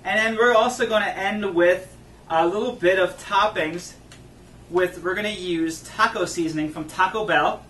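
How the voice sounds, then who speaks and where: High-voiced upbeat man, echoey distant mic. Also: normal speed, a bit bright, slight background noise. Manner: upbeat, agreeable, laughs often. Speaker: cooking show host. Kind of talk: comedic cooking show patter.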